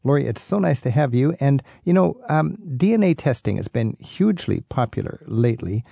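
Male travel radio host, chatty interviewer, mid-range husky voice, close dry sound. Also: medium pitch, big pitch swings, brisk, mellow, dry recording. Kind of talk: conversational broadcast interview.

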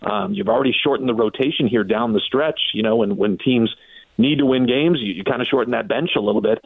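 A man's voice: medium pitch, fast talker, creaky, breathy edge, no room sound. An American man talking as a guest on sports radio, recounting things conversationally and in long-winded detail. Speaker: sports broadcaster interviewed as guest. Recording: phone-like narrow band, dry.

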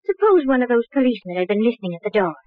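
Haughty young widow, guarded and clipped, 1940s crime serial, thin band-limited sound. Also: female voice, a little high, big pitch swings, very fast, dry recording. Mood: urgent, tense. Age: young adult. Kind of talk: 1940s radio crime drama acting. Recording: dry, tinny old radio recording.

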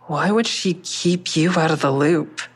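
A woman playing a guarded, tense heroine in an audio drama, telling her story in a measured, deliberate, suspenseful way. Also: deep voice, slightly rough, no echo. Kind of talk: first-person audio drama narration and dialogue.

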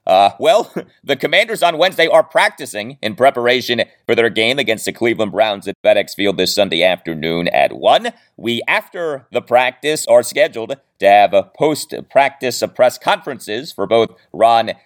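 A man's voice: mid-range voice, animated, moderate pace. A man hosting a solo sports podcast, talking through his rundown in an emphatic, showman-like way with dramatic stresses. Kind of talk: scripted solo sports podcast monologue with asides.

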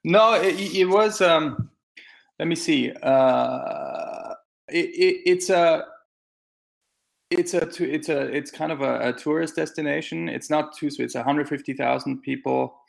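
Hesitant gravelly man from Austria. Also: on the high side, a little slow. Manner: hesitant, many fillers and restarts. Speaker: guest. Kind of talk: conversational interview answers. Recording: teleconference call audio.

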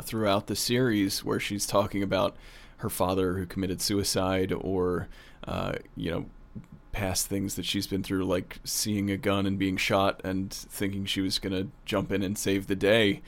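A man's voice: fairly low pitch, creaky. A man co-hosting as a producer, chatting casually in an agreeable, informal way. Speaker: producer and co-host. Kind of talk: casual interview chat.

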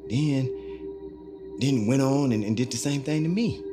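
A man playing a folksy, wide-eyed country fellow in an audio drama, with rustic, halting, excitable speech. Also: medium pitch, lightly acted. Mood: breathless wonder. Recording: music behind the voice.

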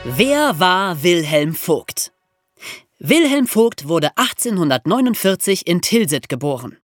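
Animated male podcast storyteller, lightly roomy. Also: high-pitched, unhurried, clean studio sound, faint music behind. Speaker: podcast co-host. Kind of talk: scripted story narration and explanation.

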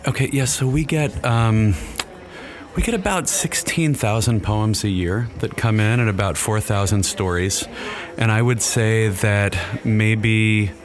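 A man's voice: on the low side, measured pace. A man editor being interviewed on a podcast, starting hesitantly with many fillers, then explaining matter-of-factly. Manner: hesitant, lots of ums, then matter-of-fact. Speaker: literary magazine editor, guest.

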